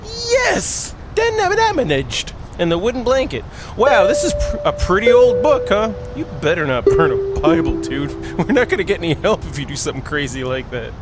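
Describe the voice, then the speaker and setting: A man with a high-pitched, very animated voice hosts a video game playthrough. He reads the story aloud in a goofy, exclaiming way and slips into joking asides. Game music plays prominently behind him. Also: normal speed, few pauses. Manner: goofy, giggly, exclaiming, drifts between reading and quips. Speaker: gaming video host. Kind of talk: playful let's play, reading story aloud with joking asides.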